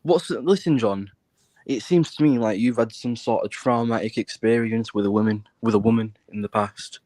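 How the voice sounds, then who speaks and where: A British man talking casually and bluntly, with plenty of swearing. His pitch sits in the middle for a man, and the sound is dry with no room on it. He presses his points insistently. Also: normal speed, a little mellow, no room sound. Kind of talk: casual chat.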